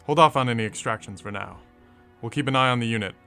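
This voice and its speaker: A man's voice: mid-range voice, fast, gravelly, bright, forceful tone, faint music behind. A man playing a stern, impatient government director in a radio drama. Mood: cold, commanding.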